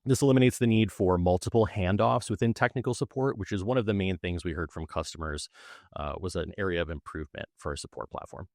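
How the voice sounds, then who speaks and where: A man's voice: sits low, fast talker, dry recording, clean studio sound. A man being interviewed as a guest, explaining how his support team works in a conversational way. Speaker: technical support manager, guest.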